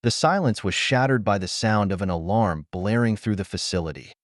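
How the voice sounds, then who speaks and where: A man's voice: lowish voice, expressive, brisk, no echo, studio quality. A man reading a science fiction adventure story as an audiobook narrator, in a dramatic, earnest manner.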